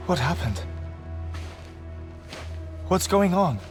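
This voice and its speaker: An actor playing a melancholy, eccentric widower in a cinematic video game, with halting, wordy, formal speech. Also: male voice, high-pitched, very animated, soft background music, full character voice. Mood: desperate pleading.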